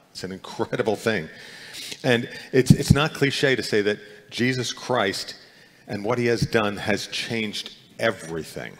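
A man's voice: lowish voice, fairly bright tone. A middle-aged man preaching a sermon as pastor, earnest and conversational, with frequent restarts. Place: church. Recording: long reverb of a church hall.

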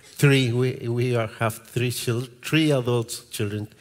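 A middle-aged pastor from Peru talks in a strong Peruvian Spanish accent, speaking slowly and stopping often to find his words. His voice sits at an ordinary pitch for a man. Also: steady tone, slight background noise. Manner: halting, searching for words. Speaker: guest pastor. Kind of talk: church interview.

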